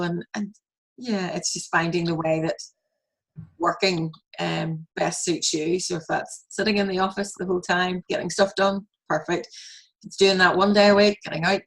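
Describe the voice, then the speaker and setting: Low-voiced chatty woman host. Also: poor audio. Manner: chatty, with frequent restarts and laughs. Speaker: podcast host. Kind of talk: conversational interview.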